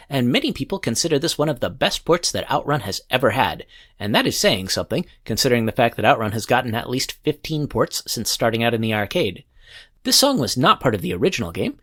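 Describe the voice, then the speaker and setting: Guy hosting a music podcast, kinda husky, pitch jumps around a lot, playful scripted delivery. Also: a bit bright. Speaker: podcast host. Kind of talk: scripted music show narration.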